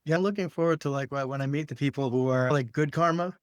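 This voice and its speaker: A man's voice: a little high, quick pace, clear, resonant tone, dry recording, clean studio sound. A man co-hosting a podcast, talking informally in a casual conversation and often checking in with "right?". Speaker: podcast co-host.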